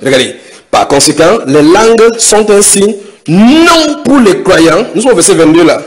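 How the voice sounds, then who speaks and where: A man's voice: high-pitched, sing-song. A man preaching a sermon, questioning the congregation and stretching out key words for emphasis. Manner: emphatic, questions the audience, drawn-out stresses.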